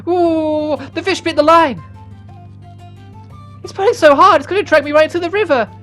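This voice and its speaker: A man voicing an excitable young girl in a fantasy game, high-strung and exclamatory. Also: moderate pace, faint music behind. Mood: panicked.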